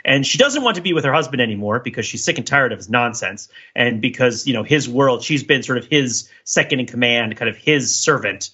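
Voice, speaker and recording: A man with a bright, forceful and husky voice works through ideas as a podcast co-host. He is wordy and emphatic, swinging widely in pitch as he makes each point. The recording is a little dull and lo-fi. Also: lively intonation, a little fast, few pauses. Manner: wordy and emphatic, often checking with "right?". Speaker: podcast co-host discussing a TV series. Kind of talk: casual analytical discussion among co-hosts.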